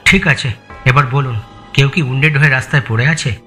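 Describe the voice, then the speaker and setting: A male actor voices a police sergeant in an audio thriller. He questions in a measured, brisk way, calm but skeptical. The voice is forward and bright, with light music behind it. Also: medium pitch, natural voice. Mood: calm, probing. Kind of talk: audio story acting.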